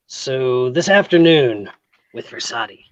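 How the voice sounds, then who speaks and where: A woman acting a nosy, motherly confidante hungry for romance, husky and theatrical in a comic play read. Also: very deep voice, expressive, slow pace, dry recording, exaggerated voice. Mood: eager, prying. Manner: theatrical, breathlessly prying. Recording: dull lo-fi, close dry sound.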